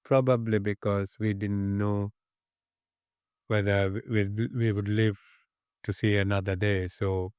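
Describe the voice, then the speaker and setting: A man in his fifties with a Sri Lankan accent, reminiscing in an interview and often pausing mid-phrase to find his words. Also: fairly low pitch, little pitch movement, a little mellow, smooth, no echo, clean studio sound. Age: 50s.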